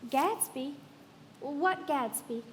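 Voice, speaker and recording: Very high-voiced, gushing socialite. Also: female voice, lively intonation, heavily acted. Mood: affected, playful. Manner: gushing, drawn-out, affected. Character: breathless, theatrical, languid young socialite wife. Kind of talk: live radio play acting. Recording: echoey hall with faint noise.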